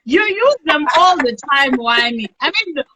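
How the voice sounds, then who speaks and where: A woman hosting an interview, playful and teasing, firing quick follow-up questions at her guest. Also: sits high, animated, pushed and bright, rough recording. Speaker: host. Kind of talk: casual interview chat.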